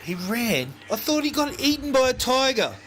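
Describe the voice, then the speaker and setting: A man's voice: extremely high pitch, sing-song, normal speed. A man chats casually on a noisy home video, playing the teasing dad. His intonation swings widely as he feigns disbelief. The recording is amateur, made indoors with noticeable noise. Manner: playful, mock-incredulous teasing. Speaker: father playing along with child's puppet show.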